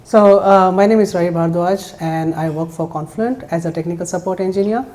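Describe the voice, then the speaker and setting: A woman's voice: fairly low pitch, brisk, darkish tone. A woman giving an informal self-introduction as a guest speaker at a tech talk. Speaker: guest speaker, support engineer. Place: indoor meetup room. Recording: faint background noise.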